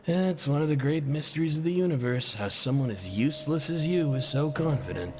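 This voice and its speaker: An actor playing a bitter, sneering convict in a video game, grumbling with scorn. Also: male voice, fairly high pitch, expressive, moderate pace, soft background music, natural voice. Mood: mocking. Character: bitter, sneering male convict in an underground prison.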